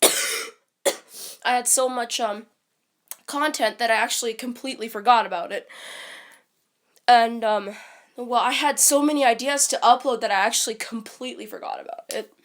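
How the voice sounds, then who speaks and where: A woman with a stuffy, congested voice from a cold, talking casually on a live stream and rambling with frequent ums and restarts. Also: a little high.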